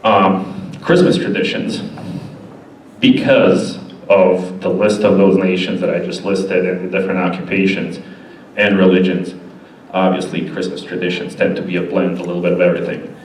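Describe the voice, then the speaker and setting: A man in his forties from Bosnia, giving a casual, halting presentation at a church with many fillers. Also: low voice, steady tone. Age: 40s. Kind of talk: informal presentation. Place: church hall. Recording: echoey hall, distant mic, faint noise.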